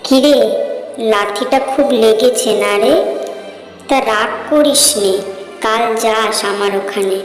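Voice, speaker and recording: An actress playing a mad, commanding ascetic woman of the cremation ground, abrupt and taunting, in an animated horror story. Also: on the high side, slow, pauses often. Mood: coaxing. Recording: echoey reverberant voice over faint music.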